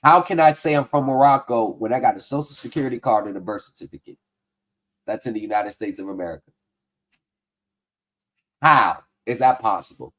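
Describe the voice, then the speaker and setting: A man's voice: medium pitch, fairly quick, long pauses, fairly bright tone. A man hosting a livestream, casually walking through a tax form and skeptically picking apart bad advice. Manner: conversational, skeptical, reads form text aloud. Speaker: livestream host debunking scams. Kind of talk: casual explanatory commentary.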